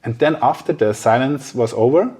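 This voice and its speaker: A man talking casually and a little haltingly as an interviewer in a podcast conversation. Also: mid-range voice, a little mellow, slightly rough.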